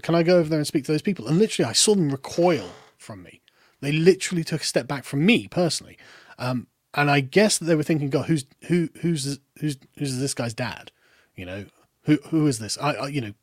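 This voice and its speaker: A British man with a lower middle class accent, a guest talking in a casual political discussion, rambling with restarts and trailing off quietly. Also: no echo, studio quality. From Britain.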